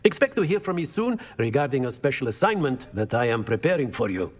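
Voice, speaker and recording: A man's voice: mid-range voice, big pitch swings, brisk, in a large hall. A man playing a formal wizard-school professor in a video game. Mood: measured.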